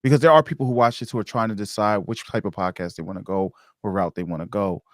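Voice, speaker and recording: A man hosting a casual podcast interview, talking haltingly and circling back to rephrase his questions. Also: fairly low pitch, fairly even intonation, no echo, clean studio sound.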